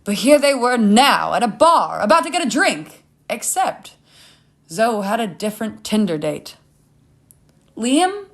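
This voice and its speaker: A woman's voice: mid-range voice, sing-song, normal speed. A woman reading a scripted short story as its narrator.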